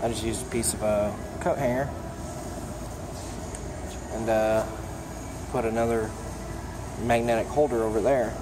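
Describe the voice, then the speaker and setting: A young mechanic casually showing his toolbox, talking in a relaxed, plainspoken way with plenty of ums and uhs. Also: fairly low pitch, a little slow, pauses often. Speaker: mechanic giving a toolbox tour. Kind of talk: casual show-and-tell vlog. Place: auto repair shop, indoors. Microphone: phone held in hand, filming first-person. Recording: shop noise with air conditioning hum.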